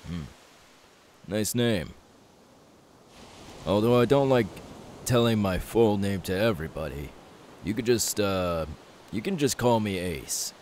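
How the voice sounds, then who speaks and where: A man playing an easygoing, kindly young pirate in an ASMR roleplay, soft-spoken and unhurried. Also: on the low side, animated. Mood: gentle, curious. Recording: faint background hiss.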